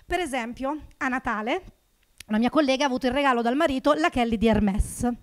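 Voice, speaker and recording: A woman in her thirties with a high voice works a small comedy club stage, talking into a handheld microphone. Her delivery is sarcastic and animated, leaping up in pitch and volume whenever a punchline lands. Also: fast. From Naples. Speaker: stand-up comedian. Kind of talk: stand-up comedy routine.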